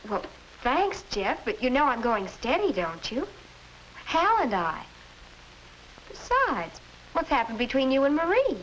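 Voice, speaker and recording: A loyal, scolding teenage girl, with a fairly high voice and very animated intonation. The delivery is emphatic 1950s instructional film acting. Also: measured pace, pauses often, heavily acted, rough recording. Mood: reproving. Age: young adult. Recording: hissy 1950s educational film soundtrack.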